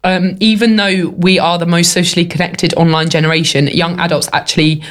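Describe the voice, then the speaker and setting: A woman's voice: on the low side, flat delivery, a little fast. A young woman with a stuffy cold voice, co-hosting a talk show in casual chat, full of "like" and "um". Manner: chatty, many fillers like "like" and "um". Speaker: podcast co-host.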